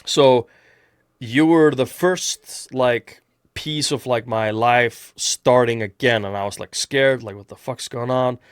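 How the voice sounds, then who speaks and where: A man's voice: mid-range voice, slow pace, smooth, no echo, clean studio sound. A man from Iceland hosting a casual podcast chat, rambling with many restarts. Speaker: podcast host.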